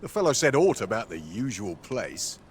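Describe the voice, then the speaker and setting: An actor playing a brusque, indifferent messenger in a fantasy game. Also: male voice, on the low side, very animated, moderate pace, a little hiss or hum. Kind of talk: scripted fantasy game dialogue.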